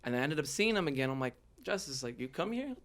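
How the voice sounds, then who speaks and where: A man's voice: fairly high pitch, very animated, fast, a bit bright. A young man with a Southern California American accent, telling his own story openly and informally as a podcast guest. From Los Angeles area, United States. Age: young adult. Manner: open, informal, slangy. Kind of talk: casual personal interview storytelling.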